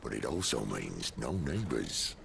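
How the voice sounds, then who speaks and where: An actor playing a worldly, gruff middle-aged outlaw leader in a video game cutscene, speaking in a dry, wry, unhurried way. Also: male voice, a bass voice, big pitch swings, noisy background, exaggerated voice. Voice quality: gravelly. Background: orchestral game score.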